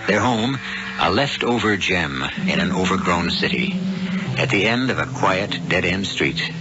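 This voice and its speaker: A man narrating a scripted radio mystery as host, ominous, theatrical and deliberate. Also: low voice, expressive. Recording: dull old radio recording, loud music. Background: loud music.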